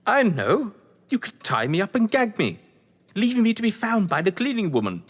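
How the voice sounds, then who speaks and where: A man's voice: high-pitched, wildly swooping pitch, normal speed, full character voice. Man playing a florid, pompous older British schemer. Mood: suave, scheming. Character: theatrical, scheming upper-class male crime novelist and manor host. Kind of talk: stage-play audio drama acting. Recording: echoey hall reverb.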